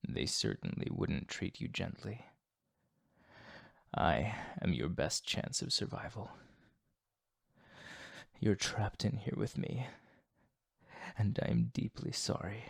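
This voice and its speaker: A man acts out a tormented vampire holding back his bloodlust in an intimate ASMR roleplay. His voice is low and gravelly, and he speaks very slowly. His words are formal, courteous and apologetic, delivered softly. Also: no echo, clean studio sound, full character voice. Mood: grave, warning.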